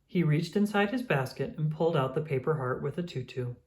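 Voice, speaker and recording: Picture-book narrator: expressive, animated, clear storytelling for small children. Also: medium pitch, fairly quick. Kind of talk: expressive scripted read-aloud narration.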